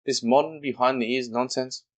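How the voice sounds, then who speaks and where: A man voicing Eeyore, a gloomy old male donkey who grumbles and complains slowly. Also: mid-range voice, steady tone, voice pushed up, lightly acted. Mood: grumbling.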